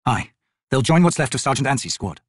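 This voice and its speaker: Young noble-born captain, read by a male narrator, formal, restrained, slightly husky, fantasy audiobook. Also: mid-range voice, lively intonation, brisk, no echo, studio quality. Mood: guarded, formal.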